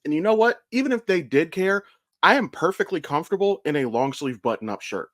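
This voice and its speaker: A man's voice: a little high, lively intonation, a little fast, fairly bright tone, dry recording. Candid, swearing American man chatting, dry sound. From Ohio, United States. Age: 30s. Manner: candid, profane, rambling storytelling. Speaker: podcast host. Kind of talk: casual conversational podcast chat.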